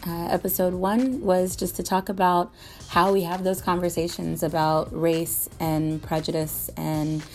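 A woman's voice: lowish voice, a little mellow. A woman hosting a podcast, opening the first episode in a casual, filler-heavy way. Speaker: podcast host. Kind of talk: podcast introduction.

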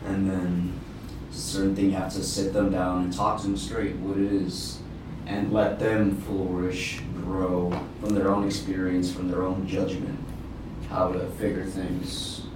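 A young man taking part in a reflective group conversation, speaking in a measured, plainspoken way. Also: deep voice, monotone. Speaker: podcast guest. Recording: very echoey, distant, noisy room.

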